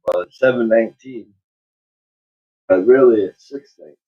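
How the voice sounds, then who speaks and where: A man's voice: medium pitch, normal speed, husky. A man co-hosting in casual, offhand banter, often contradicting, heard through dull, muffled sound.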